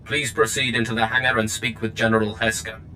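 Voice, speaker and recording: An actor playing a dutiful Imperial military officer in a game, with formal, clipped speech. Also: male voice, on the low side, monotone, brisk, sharp tone, slight background noise, poor audio. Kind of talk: video game cutscene acting.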